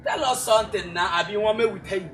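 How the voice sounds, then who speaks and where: An actress playing a nosy, theatrically excitable village gossip with a Nigerian accent, exclaiming, prodding and repeating herself. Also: female voice, medium pitch, quick pace, lightly acted. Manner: exclaiming, repetitive, prodding, Pidgin phrasing.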